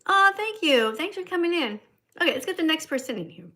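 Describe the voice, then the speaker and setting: A woman's voice: high-pitched, very animated, fairly quick, bright, forceful tone. A woman hosting a casual livestream, chatty as she reads viewer comments aloud. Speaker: livestream host.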